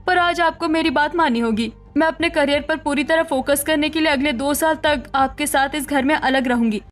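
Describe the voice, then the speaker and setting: A woman's voice: high voice, normal speed, soft background music, close to an everyday voice. A woman playing a defiant, indignant young daughter-in-law in a cartoon family drama, speaking assertively. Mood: firm. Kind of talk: Hindi cartoon story dialogue.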